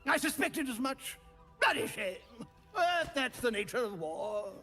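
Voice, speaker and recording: An actor playing a pompous, blustering bird military commander in a video game, barking clipped and self-important orders. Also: male voice, extremely high pitch, big pitch swings, unhurried, bright, forceful tone, faint music behind, full character voice. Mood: brusque, commanding. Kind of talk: video game character acting.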